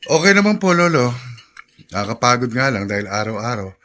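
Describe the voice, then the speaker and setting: A bright-voiced, earnest young doctor. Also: male voice, medium pitch, very animated, brisk. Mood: earnest, reassuring. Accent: Filipino. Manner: respectful, sincere. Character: earnest, dutiful young male doctor and grandson. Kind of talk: Filipino radio drama acting.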